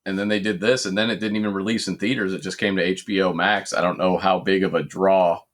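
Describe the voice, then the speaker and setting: A man's voice: deep voice, level tone, fast. A man hosting a casual podcast, leading the talk in a laid-back way. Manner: laid-back, leads the discussion. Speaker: podcast host.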